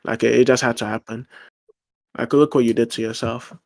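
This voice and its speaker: A man's voice: mid-range voice, fairly even intonation, a little fast, gravelly, a little mellow. A man in a casual online debate, arguing his point with frequent fillers and restarts. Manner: argumentative, lots of fillers and restarts.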